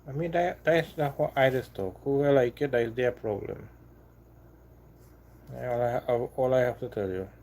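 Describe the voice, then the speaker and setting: A man's voice: mid-range voice, a little mellow. Trinidadian man chatting on a live stream. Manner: halting, repeats himself, apologetic then defiant. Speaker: livestream host. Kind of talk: casual live stream chat.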